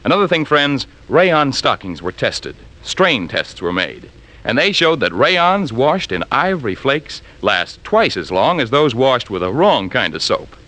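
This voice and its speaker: A male announcer gives a polished vintage radio sales pitch. His bright voice moves slowly and emphatically, with lively rises and falls. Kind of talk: 1940s radio advertisement pitch.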